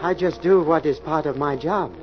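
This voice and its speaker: A man's voice: fairly high pitch, moderate pace, lightly acted. A man playing a nervous, servile nightclub waiter in 1940s radio style, hesitant, stammering and deferential. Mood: anxious. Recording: muffled old radio recording, music behind.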